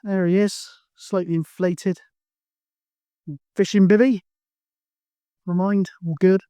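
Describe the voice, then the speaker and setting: A man with a noticeably high voice talks casually in the open air. He is a radio hobbyist, matey and a little hesitant, dropping in CB jargon as he goes. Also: little pitch movement, slow pace, warm tone, smooth, no echo, clean studio sound. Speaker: CB radio hobbyist, video host. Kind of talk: casual radio chat and outdoor vlog. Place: outdoors on a mountain.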